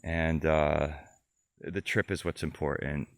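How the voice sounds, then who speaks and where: A man's voice: rumbling low, steady tone, slow pace, creaky, no echo, clean studio sound. An American man talking casually and reflectively into a handheld camera outdoors, rambling and trailing off as in a personal video diary. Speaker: solo bike-tour vlogger. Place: outdoors at a grassy hilltop campsite.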